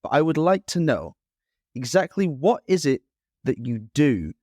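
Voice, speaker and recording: A man's voice: on the high side, sing-song, measured pace, a little mellow, no room sound, clean studio sound. A man co-hosting a podcast, asking questions in a joking, playfully dramatic way. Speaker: podcast co-host. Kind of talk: casual interview hosting.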